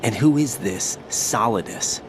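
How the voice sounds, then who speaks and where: An actor playing an earnest young agent in a video game, questioning skeptically in short, incredulous phrases. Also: male voice, fairly low pitch, lively intonation, measured pace, noisy background. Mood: probing. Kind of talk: dramatic video game voice acting. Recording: music behind the voice.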